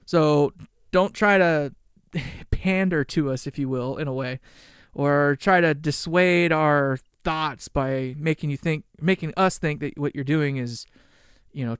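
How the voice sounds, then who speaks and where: A man with a high-pitched voice, ranting bluntly in a vlog with casual swearing. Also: clear, resonant tone, no room sound, studio quality. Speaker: gear channel vlogger. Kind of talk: opinion monologue vlog.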